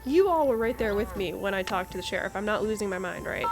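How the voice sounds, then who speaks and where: A woman's voice: mid-range voice, animated, fast talker, music in the background. A woman giving casual, chatty playthrough commentary as a gaming host, reacting aloud with interjections.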